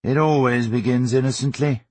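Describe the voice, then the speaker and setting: A man's voice: on the low side, big pitch swings, measured pace, slightly rough, no echo, pitched above the performer's own voice, full character voice. A man voicing a middle-aged barrister father in a storybook, mild and sardonic, with courtroom rhetoric. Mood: weary, measured.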